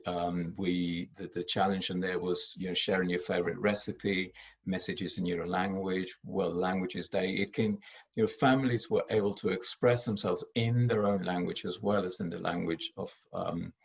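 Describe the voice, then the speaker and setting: A former head teacher from Argentina, Spanish his first language, speaks in a very low, gravelly voice with a husky edge. He talks his way through a school presentation in halting English, full of ums and restarts. The sound is muffled and lo-fi. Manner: hesitant, many fillers and restarts.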